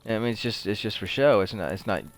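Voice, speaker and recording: A man's voice: fairly low pitch, fast. A man giving casual gameplay commentary, rambling and conversational as he thinks aloud. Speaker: host of a video game playthrough show.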